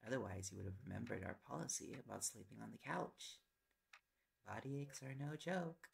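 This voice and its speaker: A young man playing an affectionate, teasing reporter boyfriend in an intimate audio roleplay, with a gravelly voice and playful, coaxing talk. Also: fairly low pitch, a little fast. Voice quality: gravelly, creaky. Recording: loud background noise with clicks. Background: ticking and clicking sounds.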